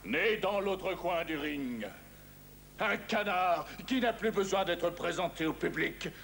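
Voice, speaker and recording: A man's voice: sits high, slow, creaky, a bit bright, a little hiss or hum, full character voice. A man voicing a big pompous duck who referees and announces boxing in a cartoon, with grandiose delivery. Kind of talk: ring announcing in French-dubbed cartoon. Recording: old cartoon soundtrack with music.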